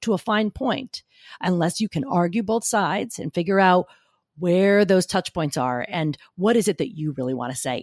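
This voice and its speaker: Gruff, gritty woman, lowish, emphatic storyteller; dry close recording. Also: no room sound. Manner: emphatic, argumentative, animated storytelling. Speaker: guest speaker coach. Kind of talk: podcast interview.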